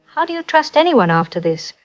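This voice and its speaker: A woman's voice: sits high, sing-song, a little fast, faint music behind. An actress playing a distressed woman calling in to a late-night radio show, with a dull lo-fi sound. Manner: distressed, pleading. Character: shaken woman caller in small town. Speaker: caller.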